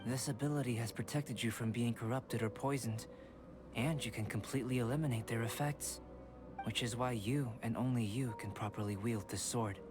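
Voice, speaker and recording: A man plays a composed young alchemist with a mid-pitched voice who speaks precisely and formally. He stays measured and scholarly while game music plays behind him. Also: steady tone, natural voice. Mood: explaining. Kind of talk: game cutscene voice acting.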